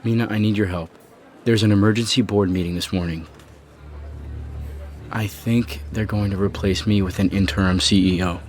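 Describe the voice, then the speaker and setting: A man's voice: fairly low pitch, fairly even intonation, normal speed, pauses often, darkish tone, a little hiss or hum, close to an everyday voice. An actor playing a beleaguered, apologetic male chief executive in an audio soap opera, earnest and uneasy as he pleads. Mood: anxious. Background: music under the dialogue.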